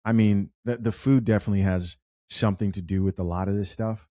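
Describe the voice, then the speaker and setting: A man hosting an unscripted commentary show, chatty and animated as he reads viewer comments aloud. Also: on the low side, brisk, no echo, studio quality. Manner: chatty, animated, with frequent fillers and restarts. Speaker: host.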